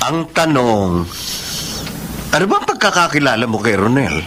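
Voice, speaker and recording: An actor playing a casual, teasing uncle who acts as confidant in a Tagalog radio drama. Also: male voice, mid-range voice, very animated, normal speed, noisy background, lightly acted. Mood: amused, calming.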